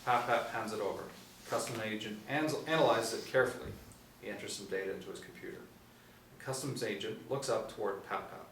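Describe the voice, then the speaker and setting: Male narrator for script directions, lowish voice, recorded off-mic in a roomy space. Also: brisk, fairly bright tone. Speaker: narrator reading stage directions. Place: indoor room, seated reading panel. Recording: roomy, distant mic, faint noise.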